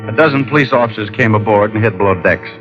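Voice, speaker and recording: Guy acting as deep voiced american noir detective, deadpan and tough, old dull radio sound. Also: quick pace, faint music behind, lightly acted. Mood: measured narrating. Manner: terse, deadpan. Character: cool, wisecracking male private detective, tough and dry. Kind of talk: 1950s radio noir acting and first-person narration.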